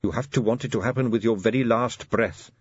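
A man's voice: mid-range voice, a little fast, slightly rough, dry recording, muffled recording, lightly acted. A man voicing an earnest, eager young male captain who speaks politely in a raised voice. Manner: earnest, polite, lifted and bright.

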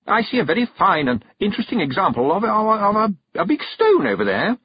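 A man's voice: high-pitched, wildly swooping pitch, a little fast, slightly rough, no room sound, lo-fi sound, voice pushed up, exaggerated voice. A man voicing a prim, nosy woman witch with an arch, sugary manner in a storybook. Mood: falsely sweet. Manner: sticky-sweet, arch. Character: prim, nosy adult female witch, unembarrassed.

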